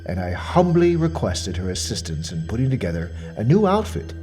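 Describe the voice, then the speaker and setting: An actor playing a fussy, self-important mystery shopper with quiet menace, delivering a precise, deliberate monologue in the form of a report. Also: male voice, on the low side, sing-song, soft background music. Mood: self-justifying. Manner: pompous, precise, deliberate, dwelling on words. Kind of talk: dramatic monologue as a spoken questionnaire report.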